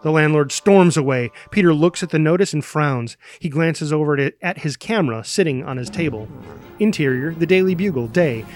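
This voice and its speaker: A man with a slightly high voice reads action lines and scene headings from a screenplay. His narration is vivid and punchy, with music underneath. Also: brisk, no echo. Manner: vivid, spoken sound effects. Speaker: stage-direction reader.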